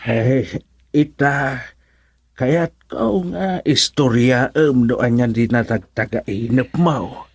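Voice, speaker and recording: An actor playing a concerned older fatherly man in an Ilocano radio drama, unhurried and soothing. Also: medium pitch, husky, dry recording.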